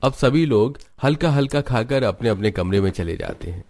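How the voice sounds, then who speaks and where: A man reading scripted narration for an animated family story. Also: medium pitch, moderate pace, slight background noise.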